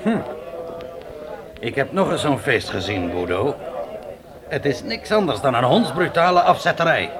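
A man's voice: medium pitch, very animated, moderate pace, long pauses, background noise, close to an everyday voice. A man playing a seasoned, cynical frontier guide in a radio drama, grumbling in a sardonic, colourful way. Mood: disgusted.

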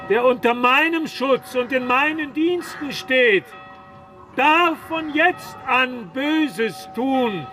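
A solemn lord of a castle in a radio drama. He proclaims slowly in a very high voice, commanding yet fatherly, weighing each phrase. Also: pushed and bright, soft background music, heavily acted. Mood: solemn proclamation. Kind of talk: German radio drama acting.

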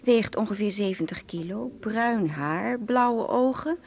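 A woman's voice: medium pitch, unhurried, darkish tone, lightly acted. A woman playing a smuggler boss's amused, flirtatious and ruthless sister in a radio drama, with a cool, teasing delivery.

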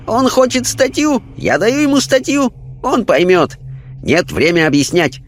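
High-pitched spirit guide. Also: male voice, sing-song, unhurried. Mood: brisk, knowing. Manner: clipped broken grammar, short exclamations. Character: quaint Chinese male spirit guide, cryptic, brisk.

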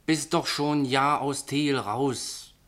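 A man's voice: mid-range voice, steady tone, slow, a bit bright. A man playing a blunt, hearty Berlin underworld pal with a Berlin accent in colloquial radio drama dialogue. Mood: chiding, familiar.

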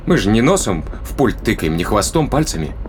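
Male crewman: low-pitched, brisk, sardonic explainer for sci-fi audio drama, colloquial, ambient noise underneath. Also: very animated. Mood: wry, explanatory.

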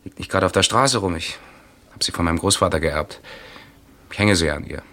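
A courteous, puzzled young architect. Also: male voice, sits low, brisk, pushed and bright. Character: young male architect summoned by police. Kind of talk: 1970s German radio drama acting. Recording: vintage radio play recording.

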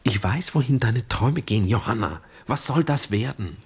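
Earnest, husky-voiced serious man. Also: mid-range voice, lively intonation, normal speed, darkish tone. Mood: earnest, concerned. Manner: earnest, polite, probing. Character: serious, searching son and protective brother. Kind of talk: German radio drama acting.

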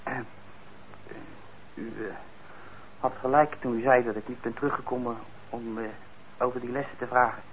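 Man playing a halting young tearaway, muffled recording. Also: medium pitch, steady tone, close to an everyday voice. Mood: hesitant, earnest. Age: young adult. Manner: hesitant, halting, blunt. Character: troubled young man on probation, resentful yet eager. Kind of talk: Dutch radio play acting. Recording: muffled, noisy old radio recording.

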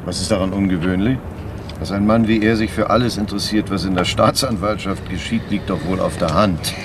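A man's voice: low-pitched, fairly even intonation, brisk, noisy background, lightly acted. An actor playing a stern, sceptical senior prosecutor in a radio crime drama, pedantic and condescending in his corrections.

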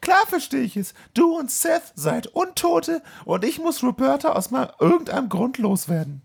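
A man's voice: extremely high pitch, big pitch swings, normal speed, gravelly, slightly rough, voice pushed up, full character voice. A man voicing a troubled, sarcastic young woman in a comic audio play, with a dramatic, incredulous manner. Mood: sarcastic, questioning.

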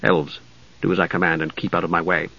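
A man voicing a cold, commanding, battle-hungry dark knight in a fantasy audiobook, terse and imperious. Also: deep voice, flat delivery, a little fast, vocal fry, no room sound, muffled recording, voice pushed down, heavily acted. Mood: tense, commanding.

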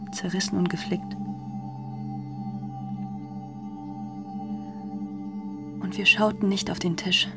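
An actress playing a grave, haunted narrator in a literary radio drama, telling the story in a measured, deliberate way. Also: female voice, on the low side. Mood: haunted, solemn. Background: music.

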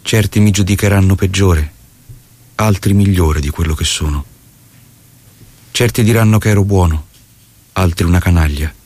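A doomed revolutionary commander musing on death in a stage drama. His voice is very low. He recites like poetry, solemn and deliberate. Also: darkish tone. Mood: solemn, resigned.

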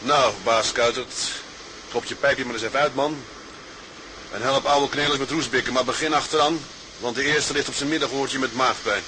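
An actor playing a plain-spoken young sailor in a radio drama, husky, colloquial and hearty. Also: male voice, mid-range voice, steady tone, moderate pace, background noise, natural voice. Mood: jovial, bossy. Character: hearty, plain-spoken young Dutch seaman and loving husband. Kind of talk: Dutch radio drama acting.

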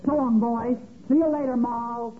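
A man's voice: very high voice, steady tone, moderate pace. A man playing a brassy, slangy, chummy female gambler at a newspaper in a 1940s radio comedy. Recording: tinny, faintly noisy old radio broadcast.

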